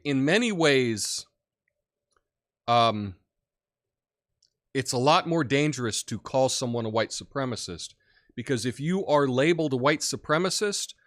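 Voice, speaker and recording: A man hosting a political commentary show, who talks in an opinionated, rambling way with many fillers and has a big booming voice. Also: a bit bright. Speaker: podcast host.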